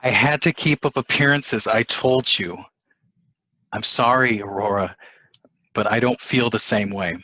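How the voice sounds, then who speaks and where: A man's voice: on the low side, a little slow, pushed and bright, dry recording, dull audio. A man playing a defensive, insistent cheating husband in a stage play reading. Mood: defensive, pleading.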